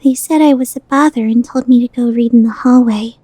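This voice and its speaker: A woman acting as a small, meek girl with a high, mellow voice. She sounds shy and lonely, close and dry. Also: steady tone, a little fast, no room sound, lightly acted. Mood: shy, dejected. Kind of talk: anime fandub radio play acting.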